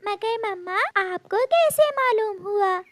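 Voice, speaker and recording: A cartoon little girl bird acted by a woman, extremely high and lively in pitch. She speaks in an earnest, childlike, questioning way, as a devoted daughter. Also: slow pace, no room sound, full character voice. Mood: curious.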